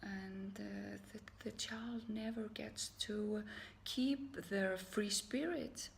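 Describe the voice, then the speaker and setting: A woman teaching yoga and energy healing, talking to camera in an earnest, halting way with many fillers and soft, half-whispered phrases. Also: medium pitch, steady tone, gravelly, slight background noise. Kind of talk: unscripted talk to camera.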